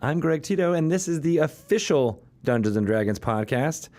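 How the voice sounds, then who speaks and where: A man's voice: on the high side, expressive, normal speed, darkish tone. A man hosting a podcast with casual, teasing comic banter. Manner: joking, teasing. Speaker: podcast host.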